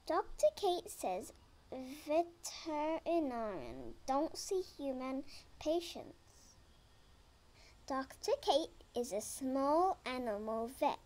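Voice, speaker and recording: A young girl reads a children's picture book aloud at a very slow, careful pace. Her voice is high and lively in pitch, and she sometimes trips over a word and starts it again. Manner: slow careful reading with some stumbles. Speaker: reader.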